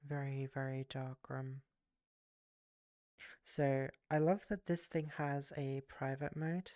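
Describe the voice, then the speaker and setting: A man's voice: medium pitch, flat delivery, slow, darkish tone, clear, resonant tone, no echo, studio quality. A man streaming a casual game session, rambling and thanking viewers, sounding stuffy from a cold. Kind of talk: casual streaming chat while exploring a game world.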